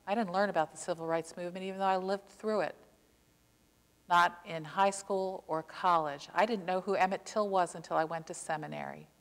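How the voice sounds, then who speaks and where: Older lady pastor preaching from the pulpit, lowish voice, earnest and fired up, church echo hanging on every line. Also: fairly even intonation. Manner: earnest, impassioned preaching with slow emphatic stresses. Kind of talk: sermon. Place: church sanctuary, behind a pulpit. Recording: long church reverb.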